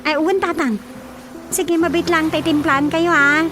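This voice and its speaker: A woman's voice: high voice, fairly even intonation, a little slow, background noise. A woman with an Ilocano accent playing a chatty, attentive young daughter in a radio drama comedy. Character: dutiful, chatty young daughter tending her father. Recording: music behind the voice.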